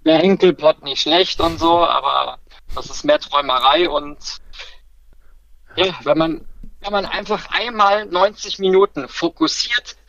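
A man's voice: fairly high pitch, a little slow, creaky, fairly bright tone, lo-fi sound. A man chatting casually as a guest on a football podcast, rambling with self-corrections and fillers. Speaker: guest fan commentator.

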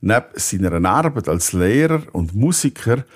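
A man with a fairly low voice hosts a podcast. He reads prepared narration, introducing pieces and guests to his listeners. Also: very animated, measured pace, slightly rough. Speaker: podcast host.

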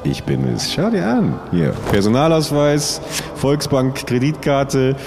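Man acting as God, grand and self-important, solemn yet chatty, lively swooping pitch, comedy podcast sketch, music behind. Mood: solemn, commanding. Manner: solemn, slow pronouncements that slip into casual chattiness. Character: grand, self-important God who chats like a laid-back fan.

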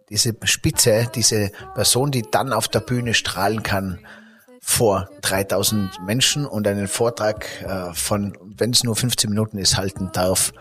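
Ein Mann mit österreichischem Akzent, der als Gastgeber im Gespräch lebhaft erzählt und oft nach Worten sucht. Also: male voice, lowish voice, a little slow. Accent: Austrian German. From Austria. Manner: lively, searching for words with fillers and restarts. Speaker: podcast host. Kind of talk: casual interview talk.

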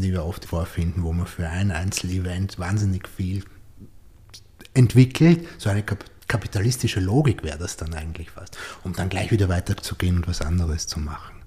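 Male podcast host, low and mellow, reflective, muses aloud and checks in with his guest. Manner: thinks aloud, often ends with a questioning "oder?". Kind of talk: reflective conversational interview.

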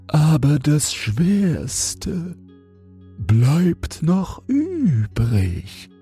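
A man's voice: on the high side, dark tone, slightly rough, pitched above the performer's own voice, exaggerated voice. A man voicing a haughty little caterpillar that drawls in a pompous, indignant way for a storybook. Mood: self-satisfied, weary. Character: slow, haughty, self-important little caterpillar.

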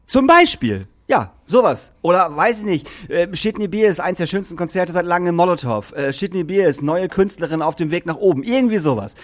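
A man's voice: high voice, lively intonation, a little fast, few pauses. A man, ranting in a casual podcast chat, swearing freely and filling gaps with hesitations. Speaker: podcast co-host.

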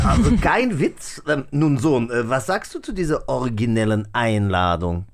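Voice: medium pitch, lively intonation, normal speed, pitched below the performer's own voice, close to an everyday voice. A performer voicing a jovial, pompous aristocratic count and father in a hammed-up children's radio play, formal and chuckling. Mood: formal, surprised. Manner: pompous, chuckling, formal old-fashioned phrasing.

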